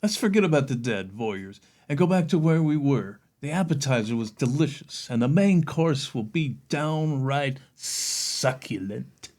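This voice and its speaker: Man playing creepy male home cook, suave killer, coaxing, ominous, animated pitch, audio drama. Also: unhurried, hardly any pauses. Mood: menacingly coaxing. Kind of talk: stage radio play acting.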